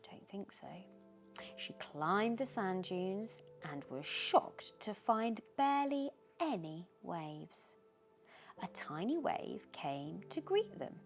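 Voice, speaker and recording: A British woman reading a children's bedtime story aloud in an expressive, dramatic way, often dropping into hushed tones. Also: on the low side. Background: background music.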